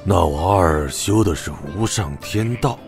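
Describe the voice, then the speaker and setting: Low-voiced, gruff old warrior. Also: male voice, a little slow, music in the background. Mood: wry, amused. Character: blunt, gruff old male blade warrior. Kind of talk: Chinese wuxia audio drama acting.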